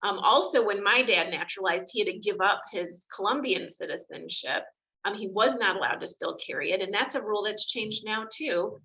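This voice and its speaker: A woman's voice: medium pitch, a little fast, pushed and bright, highs cut off. A woman immigration attorney chatting on a video call, talking easily with frequent fillers. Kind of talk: video call panel discussion.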